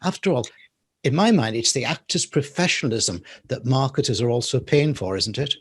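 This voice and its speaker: A man's voice: mid-range voice, expressive, moderate pace, breathy edge. A man hosting a business interview show, asking questions in a chatty way with tag questions and light asides.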